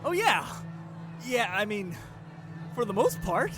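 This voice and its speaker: A man voicing a jumpy, unsure young man who talks in an excitable, stammering way. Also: high-pitched, slow pace, pitched above the performer's own voice, exaggerated voice. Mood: nervous. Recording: background music. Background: music.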